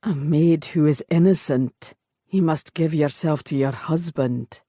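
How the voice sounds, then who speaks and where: A woman doing a low tormented Highland man. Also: a little slow, dark tone, no room sound, clean studio sound.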